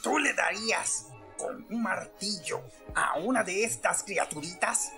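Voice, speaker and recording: Cartoon forest guardian, played by an actor: small, indignant, self-important, Latin American accent, slow and highly animated delivery. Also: gravelly, soft background music, exaggerated voice. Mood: indignant, threatening. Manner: indignant, pompous, declamatory. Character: small grumpy, pompous furry forest guardian with big moustache. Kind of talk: animated film fan dub acting.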